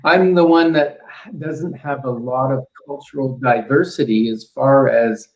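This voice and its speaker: A man's voice: medium pitch, a little slow, reverberant, muffled recording. A man from Canada modestly introducing himself on a panel, laughing at himself. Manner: modest, self-deprecating with laughs. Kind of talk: casual self-introduction.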